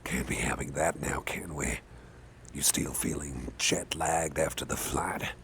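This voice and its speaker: A man playing a caring, affable boss in an ASMR roleplay, talking softly in a gentle, hesitant, chatty way with a gravelly voice. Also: measured pace. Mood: concerned, tender. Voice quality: deep, gravelly, breathy at times. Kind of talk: ASMR roleplay, soft close personal talk. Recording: faint background noise.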